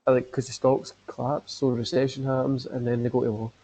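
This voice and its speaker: Man, podcast host, casual chat, mellow warm tone, rambling with lots of fillers. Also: medium pitch, level tone, fairly quick, low-quality recording.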